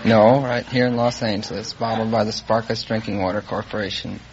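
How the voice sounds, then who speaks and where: A man's voice: lowish voice, fairly even intonation, brisk. A man giving brief, matter-of-fact answers as a contestant on an old radio show. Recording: dull vintage radio recording with audience.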